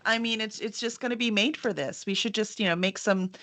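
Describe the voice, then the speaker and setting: A woman's voice: mid-range voice, fairly quick, a bit bright. A woman hosting a live online author interview as a publisher, effusive and encouraging as she chats.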